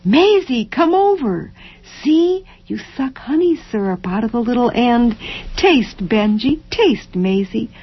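A woman reading as a dreamy gentle mother. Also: on the high side, big pitch swings, a little slow, slightly rough, muffled recording, voice pushed up, full character voice. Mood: wondering.

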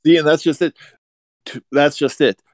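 A man's voice: fairly high pitch, steady tone, moderate pace, no room sound. A man co-hosting a casual podcast, wryly arguing his point step by step. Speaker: podcast co-host. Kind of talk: casual chat.

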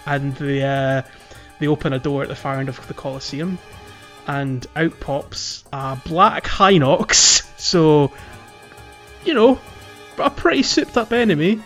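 A man with a fairly high voice hosts a casual gaming podcast. He talks through gameplay in a chatty, informal storytelling way, with music playing behind him. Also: a little slow, a bit bright. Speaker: podcast host. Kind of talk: casual gaming playthrough commentary.

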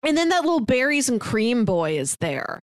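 A woman's voice: on the high side, very animated, moderate pace, no echo, clean studio sound. A woman co-hosting a movie podcast, giving a casual, wry conversational recap. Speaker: podcast co-host reviewing films.

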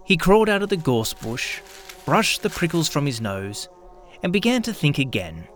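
Highly animated male storybook narrator. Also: soft background music. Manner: expressive, deliberate storyteller delivery. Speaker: host and narrator of a radio play show. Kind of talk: theatrical children's storybook narration.

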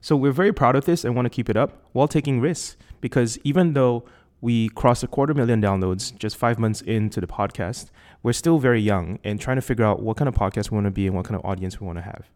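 A man with a Singaporean accent hosting a tech podcast, reading a measured, articulate prepared introduction. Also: a little mellow. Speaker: podcast host. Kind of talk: scripted intro then casual interview. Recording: lower quality recording outside a studio.

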